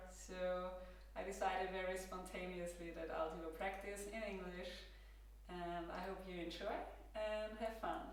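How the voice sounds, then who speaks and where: A woman with an Austrian accent, a native German speaker, leading a guided yoga class with calm, gentle instructions. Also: mid-range voice, recorded from a distance. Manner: calm, gentle cueing with soft laughs. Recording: roomy echo, from across the room.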